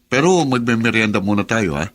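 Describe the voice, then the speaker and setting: A man's voice: lowish voice, expressive, brisk, natural voice. An actor playing a kindly, generous middle-aged man in a radio drama, coaxing in an avuncular way. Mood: friendly insistence. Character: kindly, generous older cousin of the family, insistent. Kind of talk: naturalistic radio drama acting.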